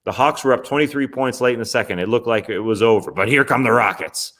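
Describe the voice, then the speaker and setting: A man's voice: medium pitch, fast talker, fairly bright tone. A young man hosting a basketball recap show, talking in a casual, chatty way with wry asides. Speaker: sports podcast host. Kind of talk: NBA recap commentary.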